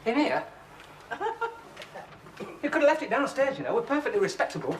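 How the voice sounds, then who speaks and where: An actor playing a naive young medical student who nervously bluffs, hesitant and overeager, in a 1970s TV sitcom. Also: male voice, extremely high pitch, sing-song, a little fast, low-quality recording. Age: young adult. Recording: hissy old TV audio.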